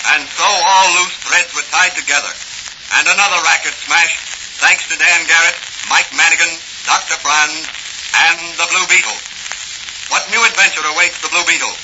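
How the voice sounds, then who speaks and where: A man's voice: fairly high pitch, fairly even intonation, moderate pace. Dramatic male radio announcer, hissy old recording. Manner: dramatic, formal. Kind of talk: 1940s radio serial narration.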